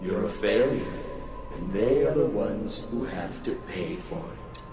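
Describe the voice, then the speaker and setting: A man's voice: lowish voice, animated, measured pace. A man voicing a cruel, mocking ghostly tormentor for a horror visual novel, with contemptuous, jeering delivery. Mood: accusing. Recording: heavy echo, distant, music underneath.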